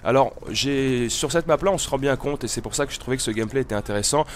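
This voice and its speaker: A man's voice: medium pitch, fast talker, slight background noise. A man with a cold giving casual, hesitant, meandering gameplay commentary as the host of a gaming video. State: has a cold.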